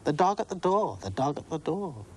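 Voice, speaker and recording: A man voicing a young girl who chants a spooky playground rhyme in a high sing-song voice. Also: very animated, moderate pace, a little hiss or hum. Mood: eerie chanting.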